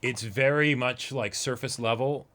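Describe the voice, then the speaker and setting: A man's voice: mid-range voice, a little slow, fairly bright tone. A man chatting casually as co-host of a reaction show, talkative, agreeable and enthusiastic in manner. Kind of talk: casual discussion of an anime episode.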